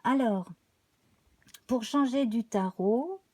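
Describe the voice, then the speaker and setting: A woman reads tarot cards for a live audience, her voice slightly hoarse. She speaks slowly and haltingly, filling pauses with small sounds, in a warm, mellow tone. The recording is dry and close. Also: a little high, dry recording. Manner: slow, halting, many fillers. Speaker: tarot reader. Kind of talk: live tarot card reading.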